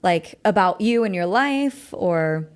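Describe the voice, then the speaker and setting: A young woman who speaks English as a second language after German, hosting a casual interview about songwriting in a chatty, animated way. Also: mid-range voice, slow. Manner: chatty, animated, often laughing. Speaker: podcast host.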